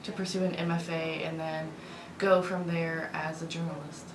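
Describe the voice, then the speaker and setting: A woman's voice: sits low, little pitch movement, moderate pace, reverberant, a crowd in the background, low-quality recording. A young woman in her early twenties from Indiana, answering interview questions hesitantly with many fillers. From Indiana, USA. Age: early 20s. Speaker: student guest.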